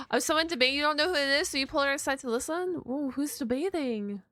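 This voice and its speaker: A woman streaming casual live reactions to a game, chatty, expressive and slangy. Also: sits high, fast, dry recording, soft background music.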